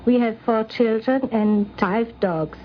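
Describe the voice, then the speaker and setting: High-voiced middle-aged woman, old radio recording. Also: slow, slight background noise. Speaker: interviewee, daughter of the programme's subject. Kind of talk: prepared personal account for radio. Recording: dull 1950s radio recording.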